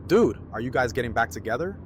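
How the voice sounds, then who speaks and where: A man's voice: mid-range voice, very animated, quick pace, darkish tone, slight background noise, natural voice. A man acting an easygoing, friendly buddy with casual, slangy talk in an audio drama. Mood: surprised, curious. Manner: casual, slangy, laid-back.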